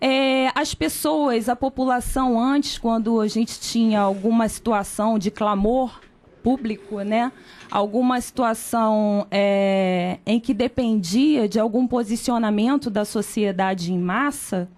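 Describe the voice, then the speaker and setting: A woman with a Brazilian accent, a lawyer speaking in a measured, formal way in a radio interview. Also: fairly high pitch, darkish tone. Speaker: lawyer and candidate.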